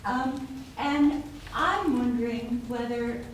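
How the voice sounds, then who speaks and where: A woman's voice: on the high side, takes it slowly. An American woman in the audience asking an earnest, emphatic question in a seminar room. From United States. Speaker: audience member. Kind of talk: question from the floor. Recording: distant, very echoey, noisy room.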